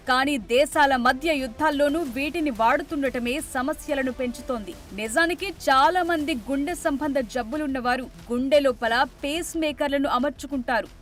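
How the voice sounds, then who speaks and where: A woman delivering a scripted news narration as a reporter. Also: high-pitched. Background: music behind the voice.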